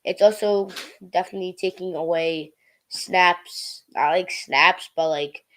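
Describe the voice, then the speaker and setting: A female podcast co-host with a slightly low pitch for her sex. She gives casual football opinions in youthful phrasing, leaning on "like" and "definitely". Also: unhurried, fairly bright tone, slight background noise. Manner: youthful filler-heavy phrasing, repeats "like" and "definitely".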